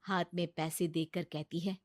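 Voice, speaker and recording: A woman narrating a cartoon story in an even storytelling manner. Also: fairly low pitch, moderate pace, dry recording, studio quality.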